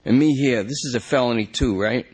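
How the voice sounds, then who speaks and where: A man's voice: medium pitch, a little fast, darkish tone, breathy edge, pitched above the performer's own voice, natural voice. A man doing a whiny, rambling junkie. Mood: pleading. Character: jittery rambling male junkie under arrest.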